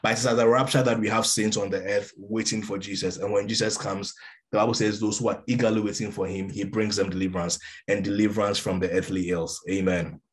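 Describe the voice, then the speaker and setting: A man's voice: fairly low pitch, little pitch movement, brisk. A man in his forties giving emphatic Bible teaching over a video call from a room at home. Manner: emphatic, gestures while teaching. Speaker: preacher. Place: room with a window, indoors. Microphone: laptop webcam on a video call.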